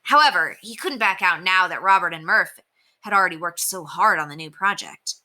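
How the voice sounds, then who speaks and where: A woman teacher reading a children's chapter book aloud in an expressive storytelling manner. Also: lowish voice, brisk. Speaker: elementary teacher.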